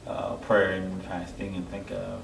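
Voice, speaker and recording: A middle-aged man from Haiti giving a personal testimony as an interview guest, halting and searching for his words. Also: low-pitched, monotone. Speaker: interview guest, church member. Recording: echoey, distant mic, clear background noise.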